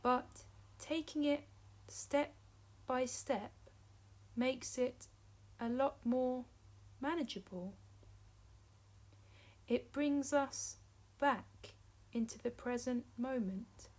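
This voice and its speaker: Woman, meditation teacher, somewhat high pitch, extremely slow pace, measured short phrases, long pauses. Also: a little mellow. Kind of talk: guided meditation narration.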